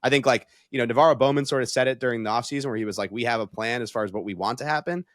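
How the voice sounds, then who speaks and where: A man's voice: mid-range voice, rapid-fire, a bit bright, no room sound, clean studio sound. A man analysing football in a casual panel conversation, talking things through in an analytical, conversational way. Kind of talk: casual sports panel talk.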